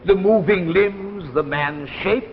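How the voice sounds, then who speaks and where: A man's voice: high voice, takes it slowly, a little hiss or hum. An actor playing an older retired physics professor, a smug eccentric inventor who savors his pompous phrases, in 1950s radio drama style. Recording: dull old radio recording, long reverb.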